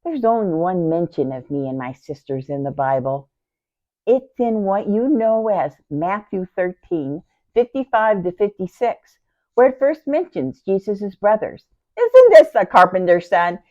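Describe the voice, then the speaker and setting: A woman playing a devoted, grieving youngest sister in a theatrical, deliberate Bible monologue that moves between hushed tones and outcries. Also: mid-range voice, animated, natural voice. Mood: fond, wistful. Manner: theatrical, deliberate, swinging from hushed near-whispers to loud outcries. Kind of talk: dramatic first-person Bible monologue. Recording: dull, lo-fi sound.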